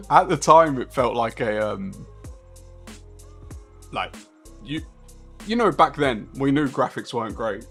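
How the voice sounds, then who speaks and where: A man's voice: medium pitch, lively intonation, takes it slowly, long pauses, breathy edge, soft background music. A man in his thirties streaming a racing game live, chatting casually and rambling with a laugh now and then. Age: 30s. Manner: chatty and rambling, laughs often, talks to chat.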